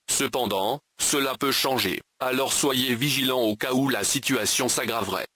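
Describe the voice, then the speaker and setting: Man, dry emergency-alert read, flat and formal, mid-pitch. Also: no room sound. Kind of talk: official emergency broadcast announcement.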